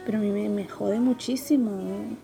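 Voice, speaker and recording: A middle-aged woman from Peru, with a Peruvian accent mixed with Spanish ones, telling stories in a casual interview, lively, swearing freely and laughing often. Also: medium pitch, normal speed, gravelly, soft background music. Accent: Peruvian Spanish mixed with Andalusian and Madrid Spanish. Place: Seville, Spain.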